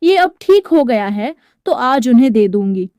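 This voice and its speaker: A woman's voice: a little high, very animated, measured pace, warm tone, smooth, dry recording. A woman voicing a brazen, evasive housemaid wife in a dramatised story, retorting dismissively. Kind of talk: dramatised Hindi moral storytelling.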